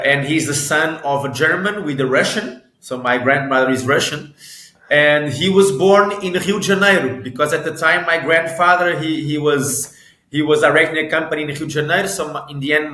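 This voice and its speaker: A man's voice: on the high side, pushed and bright. Hesitant man in a roomy space. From Portugal. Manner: hesitant, many restarts and repeated words. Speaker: guest, football agent and sports lawyer. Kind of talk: conversational interview.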